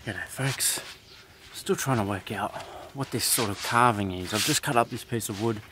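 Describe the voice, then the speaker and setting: A man's voice: on the low side, normal speed. A young Australian man chatting casually to viewers as a camping vlogger, recorded outdoors at a forest campsite. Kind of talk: casual outdoor vlog chat. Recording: noisy outdoor camera audio with clicks.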